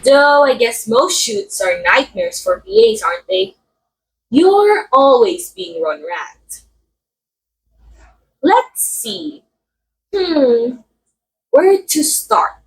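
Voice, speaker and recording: A woman's voice: a little high, very animated, drawn-out words, long pauses, exaggerated voice, poor audio. A woman acting as a haughty, catty film actress in an audio roleplay, with a theatrical, sardonic and condescending delivery. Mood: breezy, teasing. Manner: theatrical, sardonic, drawling some words for emphasis. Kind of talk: ASMR roleplay monologue, voice acting.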